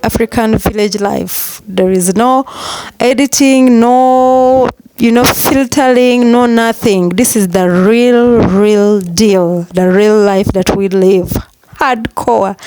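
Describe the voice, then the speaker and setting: A woman's voice: mid-range voice, darkish tone, a little hiss or hum, rough recording. A woman from Uganda with a Ugandan accent, chatting upbeat and repetitive to camera in her village coffee garden. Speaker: vlogger. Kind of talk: casual vlog talk to camera. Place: outdoors in a rural coffee garden.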